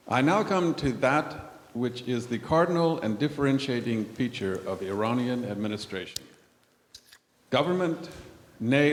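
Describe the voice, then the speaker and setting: Male class reader, mid-range voice, formal book text read aloud, heavily echoing room. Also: mid-range voice. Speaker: class participant reading aloud. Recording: echoey hall, long reverb.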